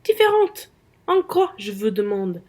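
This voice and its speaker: A woman playing a spiteful, coarse merchant's wife in a radio play, sharp-tongued and scornful. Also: mid-range voice, very animated, unhurried.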